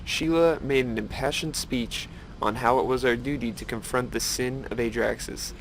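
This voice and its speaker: A man playing a solemn elf who gravely recounts old events in a fantasy game cutscene. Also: mid-range voice, normal speed, slight background noise, lightly acted, poor audio. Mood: grave, suspicious. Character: solemn elven lore-keeper recalling a council's schism.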